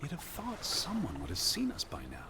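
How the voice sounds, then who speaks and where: A man's voice: on the high side, wildly swooping pitch, a little fast, noisy background. A man voicing an earnest, humorless 1920s scholar in a video game, speaking in a stiff, precise manner. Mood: earnest, pondering.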